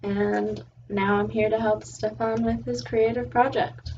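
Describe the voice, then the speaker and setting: A woman's voice: fairly high pitch, level tone, a little slow, a little mellow. A young woman from California, a student, speaks as a guest in a casual chat, thoughtful with some fillers. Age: young adult. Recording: distant dull lo-fi mic with faint hiss.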